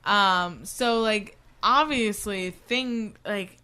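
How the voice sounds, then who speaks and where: A woman with a bright, forceful voice at a middle pitch. She co-hosts a book podcast in loose, casual banter, swearing freely and sounding exasperated as she picks things apart. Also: very slow, smooth. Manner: profane, exasperated. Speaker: podcast co-host.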